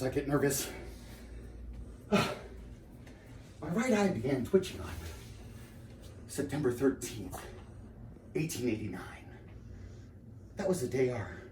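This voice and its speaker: An actor playing a nervous, henpecked husband who rambles and pities himself through a theatrical comic lecture monologue. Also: male voice, medium pitch, lightly acted. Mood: flustered, apologetic, then gloomy. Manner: digressive, self-pitying, exclaiming then trailing off. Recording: roomy, echoing, distant mic with background noise.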